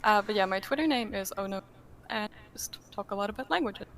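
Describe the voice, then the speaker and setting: A woman's voice: medium pitch, lively intonation, fast talker, fairly bright tone. A woman from Germany, a native German speaker, chatting casually as a podcast guest in a talkative, self-deprecating way. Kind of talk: casual chat. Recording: clearly noisy background.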